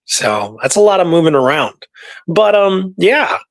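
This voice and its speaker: A man's voice: a little high, big pitch swings, measured pace. A man hosting a podcast, talking casually about history in an informal, explanatory way and repeating points for emphasis. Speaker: podcast host. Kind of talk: casual conversational history talk.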